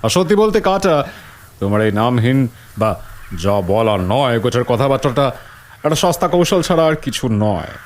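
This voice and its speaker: A man's voice: mid-range voice, animated, a little fast, natural voice. A man playing a skeptical, pragmatic school principal in an audio drama, dry, scornful and lecturing. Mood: dismissive.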